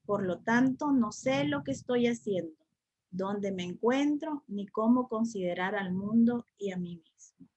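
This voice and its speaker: A woman's voice: mid-range voice, animated, unhurried, a little mellow, husky, dull audio. A woman teaching a spiritual study class, speaking reflectively and repeating phrases for emphasis. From El Salvador.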